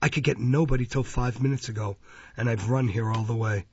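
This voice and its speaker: An elderly, humble bookstall keeper from a classic novel , voiced by a male reader, speaks with urgent determination. His voice is husky and creaky, earnest and insistent, on a slightly muffled recording. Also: medium pitch, fairly even intonation, a little fast, no room sound. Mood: earnest testimony. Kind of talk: dramatised audiobook reading of a classic novel.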